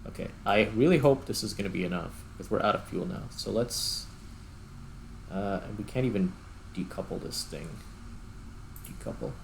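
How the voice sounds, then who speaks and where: A man with a low voice that often drops into creaky fry hosts a casual gameplay session. He thinks aloud in short, halting remarks, with faint noise behind him. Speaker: gaming host.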